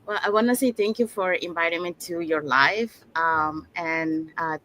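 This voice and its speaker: A woman's voice: on the low side, normal speed, sharp tone. A woman from Panama, casually telling her own story as a livestream guest. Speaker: guest, digital business owner.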